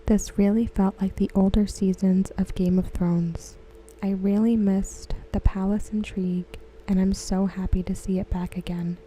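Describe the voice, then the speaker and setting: A woman reading aloud in a soft, breathy, near-whispered ASMR style, gentle and measured, close to the microphone. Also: mid-range voice, level tone, a little hiss or hum, low-quality recording. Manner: gentle, hushed, measured delivery. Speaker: ASMR host. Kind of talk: softly spoken ASMR read-aloud of forum comments.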